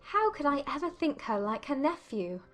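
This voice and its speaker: Woman playing proud young gentlewoman, audiobook drama, high pitch, bright edge, formal spirited phrasing. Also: sing-song, normal speed, faint music behind. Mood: indignant, astonished. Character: proud, self-possessed young English gentlewoman.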